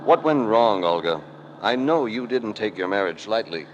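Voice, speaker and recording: An actor playing a worldly American adventurer-detective with a measured, sincere manner in a 1940s radio drama. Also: male voice, lowish voice, animated, highs cut off, close to an everyday voice. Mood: probing, concerned. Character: worldly, sympathetic American adventurer-detective hero, narrating his tale. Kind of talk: 1940s radio acting and noir narration. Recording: noisy old radio recording.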